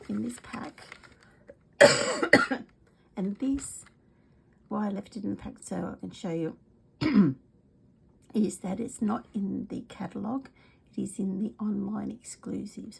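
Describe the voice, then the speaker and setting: A middle-aged Australian woman with a congested, cold-worn voice, chatting casually as she demonstrates craft products, rambling with many ums and often dropping to a soft whisper. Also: mid-range voice, measured pace, creaky, pauses often, breathy edge. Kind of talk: casual craft video chat.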